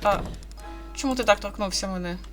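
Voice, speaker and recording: A woman plays through a game and talks as she goes, in a casual, puzzled mutter. Her voice sits at an ordinary female pitch. Music plays clearly behind her. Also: brisk. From Ukraine. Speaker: gaming video host. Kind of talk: casual game playthrough commentary, reading game dialogue aloud.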